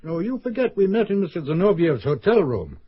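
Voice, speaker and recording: Unflappable, higher-voiced British inspector. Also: male voice, very animated, brisk, breathy edge, heavily acted. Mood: calm, authoritative. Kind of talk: radio drama acting. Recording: dull lo-fi radio broadcast.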